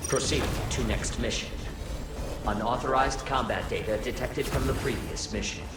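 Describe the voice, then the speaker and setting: A man's voice: mid-range voice, moderate pace, close to an everyday voice. A man voicing a cold, deranged AI overseer in a video game, formal, clipped and commanding. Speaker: announcer of combat objectives and alerts. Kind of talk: video game scripted announcements. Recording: echoing voice under loud game music. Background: loud music and mechanical game sounds.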